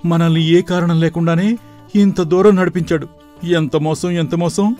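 A man voicing an earnest, excitable young village brother in an animated moral story, with emphatic delivery. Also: fairly high pitch, normal speed, close to an everyday voice. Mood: indignant. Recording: voice over background music.

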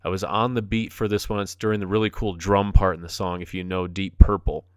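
Upbeat low-voiced male fitness coach. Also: level tone, fairly quick, clean tone, no echo, studio quality. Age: 40s. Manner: upbeat, jokey, enthusiastic coaching. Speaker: fitness instructor. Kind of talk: voice-over commentary on a workout video.

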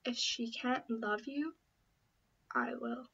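Casting: amateur female voice actor, high pitch, unhurried audition reads, slightly dull audio. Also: fairly bright tone, slightly rough. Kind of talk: acted audition lines in various characters.

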